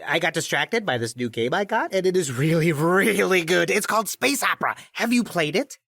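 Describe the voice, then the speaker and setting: An actor playing a chatty, awkward teenage geek who rambles and stammers in an audio drama. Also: male voice, high voice, animated, fairly quick, creaky, pushed and bright, no echo, full character voice. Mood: chatty, eager.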